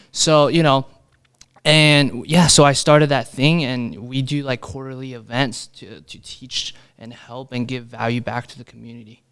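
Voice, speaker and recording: A man's voice: medium pitch, fairly bright tone, clear, resonant tone. A young man from New York City whose first language was Chinese, chatting casually as a podcast guest with lots of "you know" fillers and restarts. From New York City, USA. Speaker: podcast guest, mortgage broker. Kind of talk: casual interview chat. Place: podcast studio. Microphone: podcast microphone.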